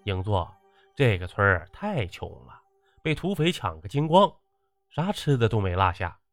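A man's voice: on the low side, big pitch swings, moderate pace, no room sound, studio quality, faint music behind. A man voicing a fawning, servile army officer who reports to his commander in an audiobook. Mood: dejected. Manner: deferential, ingratiating. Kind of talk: audiobook character acting.

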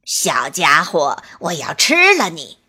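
A woman's voice: sits low, huge pitch swings, slow pace, bright, forceful tone, breathy edge, voice pushed down, heavily acted. A woman voicing a menacing, threatening big grey wolf in a children's bedtime story. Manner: growling, threatening.

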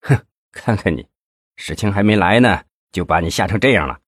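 A man voicing an aging male party official who scolds his nephew in a slow, heavy, sighing way. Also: sits low, lively intonation, husky, dry recording, clean studio sound, exaggerated voice. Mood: weary scolding.

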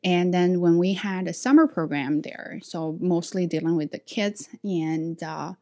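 A young woman with a Chinese accent tells personal stories in a relaxed, conversational way. Her voice sits slightly low for a woman. She often restarts sentences and leans on fillers. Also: a little slow. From China. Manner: frequent restarts and filler words, talking through experiences. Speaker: English teacher. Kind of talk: casual personal storytelling.

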